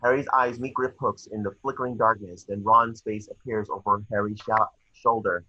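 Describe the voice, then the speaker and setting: A man's voice: lowish voice, little pitch movement, moderate pace, dry recording, dull audio. A man reading stage directions as narrator for a screenplay table read.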